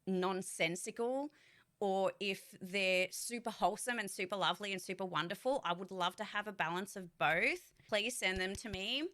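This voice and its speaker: Frank, bright-voiced woman vlogging. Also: fairly low pitch. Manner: candid, rambling, swears freely. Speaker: voice actor and streamer. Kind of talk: casual monthly vlog update.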